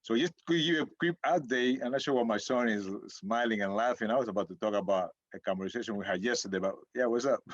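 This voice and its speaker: A man's voice: mid-range voice, fast, hardly any pauses, no room sound. A middle-aged man co-hosting a podcast with his son, talking in a rambling way and searching for words. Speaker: podcast co-host, father. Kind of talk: casual chat. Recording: dull lo-fi sound, dry room.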